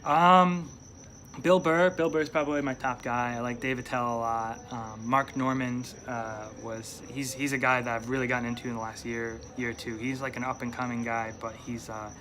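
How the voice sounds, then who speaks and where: A young man with a light New York accent talks in a loose, rambling way, with plenty of ums and restarts. His voice sits in an ordinary middle register. Crickets and steady noise run under the recording outdoors. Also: medium pitch, normal speed. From upstate New York. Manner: rambling, hesitant, many fillers and restarts. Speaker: stand-up comedian, guest. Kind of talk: casual interview chat.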